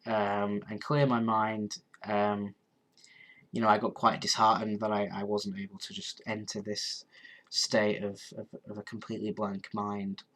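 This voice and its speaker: A man's voice: fairly low pitch, completely flat delivery, measured pace, a bit bright. Stuffy-nosed young man. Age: 20s. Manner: rambling, hesitant, many ums and restarts. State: congested, sniffly. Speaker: live stream host. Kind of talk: casual live stream chat answering comments.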